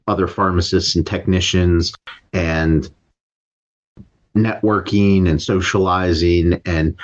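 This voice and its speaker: A man hosting an interview, speaking in measured, deliberate phrases. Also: low-pitched, highs cut off. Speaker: interviewer for a pharmacists association. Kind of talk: interview questions and comments.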